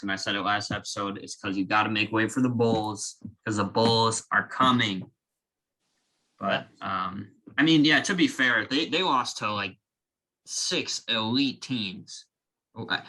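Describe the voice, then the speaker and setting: A young man co-hosting a casual sports podcast, talking in an animated, joking way with quick opinions. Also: on the low side, poor audio. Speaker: podcast co-host.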